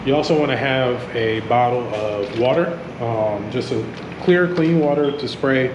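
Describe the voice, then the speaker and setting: Male host with a head cold, casual how-to explainer, roomy echo, steady fan hum behind. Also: mid-range voice, unhurried. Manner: relaxed, many ums and restarts. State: stuffy from a cold. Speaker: auto mechanic channel host. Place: home garage workspace. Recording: echoey room with fan noise.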